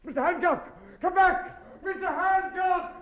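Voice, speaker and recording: An actor playing a fussy, formal family solicitor with a measured, mournful manner, in 1950s radio comedy style. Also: male voice, very high voice, heavy background noise. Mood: prim, official. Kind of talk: 1950s British radio sitcom acting. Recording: dull, muffled old radio recording.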